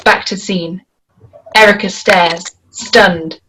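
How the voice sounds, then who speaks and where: A woman's voice: lowish voice, steady tone, slow pace, background noise. A woman reading scripted stage directions as the narrator of a screenplay.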